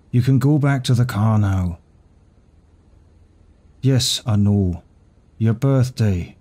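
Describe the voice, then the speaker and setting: A man playing a devoted, secretive CEO in an intimate audio roleplay, soft-spoken and husky, with sighs and pauses. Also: mid-range voice. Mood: flustered, pleading. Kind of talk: intimate ASMR roleplay acting, one side of a dialogue.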